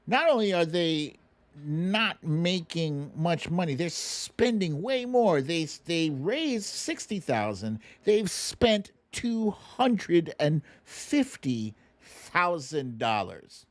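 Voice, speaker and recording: A man hosting casual, comic political commentary as storytelling, emphatic and slangy, with swearing and dramatic pauses. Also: on the high side. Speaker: host of a political commentary show.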